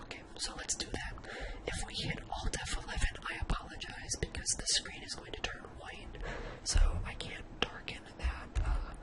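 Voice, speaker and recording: A person whispering an informal ASMR coding tutorial in a breathy, unvoiced voice, hesitating and thinking aloud. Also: normal speed, hardly any pauses, poor audio. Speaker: tutorial host.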